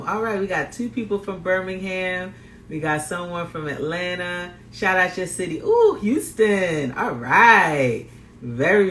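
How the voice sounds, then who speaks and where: A man's voice: medium pitch, fairly bright tone. A man from Guyana, a plant-based chef and health coach, talking upbeat to viewers of a live cooking demo, greeting them and repeating phrases. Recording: roomy echo, faint background noise.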